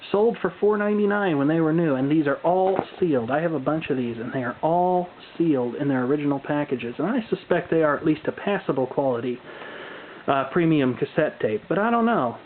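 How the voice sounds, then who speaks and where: A man presenting a casual, unscripted show-and-tell with dry, wry humor and folksy turns of phrase. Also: on the high side. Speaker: hobbyist video presenter. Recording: muffled phone-like audio with background noise.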